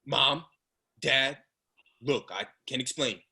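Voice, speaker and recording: A man's voice: mid-range voice, slow pace, vocal fry, sharp tone, breathy edge, heavily acted. A man reading a frightened son who pleads with his parents in a stage play. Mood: pleading, afraid. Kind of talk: readers theatre play reading. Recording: noisy, clicky remote call.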